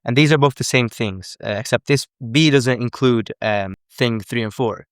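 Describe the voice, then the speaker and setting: A man's voice: medium pitch, normal speed, clear, resonant tone, no echo, clean studio sound. A young man from Sweden, a language coach, explaining in casual unscripted live commentary, earnest and correcting himself often.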